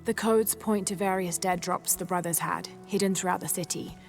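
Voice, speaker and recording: A woman playing a determined, troubled young female adventurer in a video game cutscene, speaking earnestly and with measured thought. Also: on the low side, steady tone, natural voice. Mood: solemn. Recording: game cutscene with music behind.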